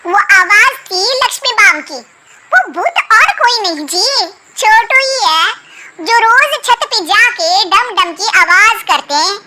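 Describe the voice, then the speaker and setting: A woman's voice: extremely high pitch, expressive, takes it slowly, pushed and bright, heavily acted. A woman voicing a brash, bossy young daughter-in-law with slang in a comic cartoon. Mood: triumphant, cheeky.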